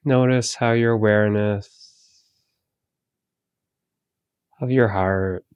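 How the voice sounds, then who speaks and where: A man leading a guided meditation, giving calm, soothing, gentle instructions with long pauses between phrases. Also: fairly low pitch, smooth, dry recording, muffled recording.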